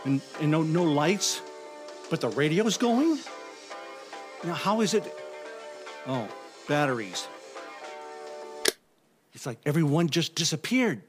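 An actor playing a nervous, imaginative office temp in a comedic audio drama, stammering and talking to himself. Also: male voice, a little high, very animated, slow, pauses often, music in the background. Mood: uneasy, self-soothing. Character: jittery, imaginative male office temp, easily unnerved.

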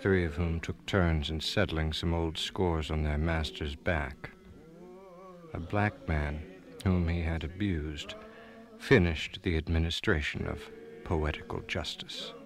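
A man playing a stern Union army officer, delivering a formal, deliberate report in a dramatic reading. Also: rumbling low, fairly even intonation, full character voice. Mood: stern, contemptuous.

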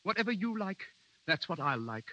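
Muffled old radio sound frames an easygoing American husband, head over heels in love. His bright voice swoops freely, earnest and breezy in 1930s drama style. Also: high voice, big pitch swings, moderate pace, heavily acted. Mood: adoring. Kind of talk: 1930s radio play acting.

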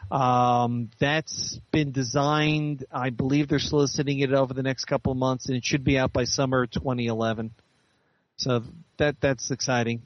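A man's voice: mid-range voice, flat delivery, normal speed, no echo, highs cut off. A man being interviewed as a guest author, chatting casually and explaining things at length.

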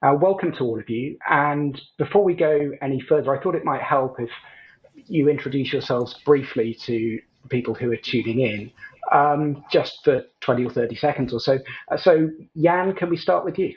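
A man's voice: mid-range voice, normal speed, lo-fi sound. Polished man moderating a webinar. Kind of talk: formal moderated panel introduction.

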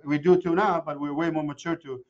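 A man's voice: a little high, brisk, highs cut off, a little hiss or hum. Man in his forties telling stories. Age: 40s. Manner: animated storyteller with frequent restarts. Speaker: guest, mortgage broker. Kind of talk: casual interview chat.

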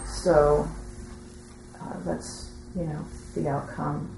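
A woman with a low voice offers a halting, tentative testimony from the audience. She often trails off, and the room adds a clear echo over faint background noise. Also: level tone. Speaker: audience member commenting at a teaching session. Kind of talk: rambling spoken testimony in a group discussion.

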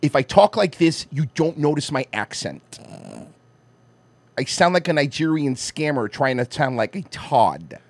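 A man's voice: fairly high pitch, big pitch swings, no room sound. A man co-hosting a podcast, tossing out sarcastic quips and laughing through crude banter. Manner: sarcastic, laughing, quick retorts. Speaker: podcast co-host.

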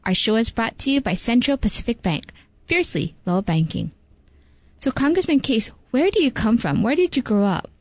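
A woman's voice: on the high side, expressive, normal speed, darkish tone, no room sound. A woman hosting a radio interview, putting questions to a guest and presenting the show.

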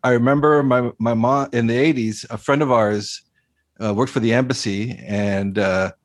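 A man's voice: medium pitch, fairly even intonation, moderate pace, no room sound, studio quality. A man from Costa Rica interviewing an author, speaking casually with many fillers and repeated words. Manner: hesitant, many fillers and repeats, laughs often.

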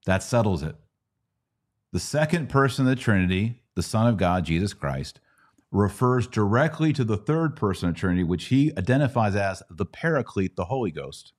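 A man with a very low voice hosts a religious Q&A podcast. His delivery swings widely in pitch as he talks conversationally, leaning hard on key words and repeating them to drive a point home. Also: very animated, normal speed. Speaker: podcast host.

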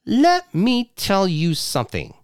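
A man voicing a shrill, furious young wife and mother who screeches accusations at her husband. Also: wildly swooping pitch, a little slow, a little mellow, dry recording, studio quality, heavily acted.

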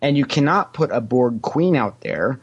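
Man, podcast guest, chatty storyteller, mid-pitched voice, casual anecdotes, slips into quoted remarks. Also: animated, moderate pace, slightly rough, highs cut off. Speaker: podcast guest, former theme park character actor. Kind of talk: casual anecdotal interview answers.

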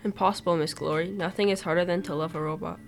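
A woman's voice: on the low side, steady tone, fast, creaky. A teenage girl playing an assertive, profit-minded male factory executive in an amateur radio play. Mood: businesslike.

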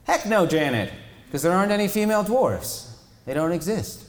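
A man's voice: high voice, big pitch swings, moderate pace, echoey room, voice pushed up. A man voicing a flippant young man, a self-styled king with a sarcastic, breezy manner.

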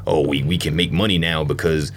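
A man's voice: extremely low pitch, steady tone, brisk. A man in casual podcast conversation, speaking colloquially and matter-of-factly, circling back over his points. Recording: clear background noise, room ambience.

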